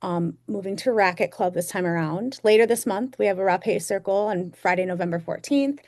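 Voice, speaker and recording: A woman's voice: mid-range voice, a little fast, a little mellow. A woman hosting a panel discussion, upbeat and effusive, thanking guests and announcing events. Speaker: host.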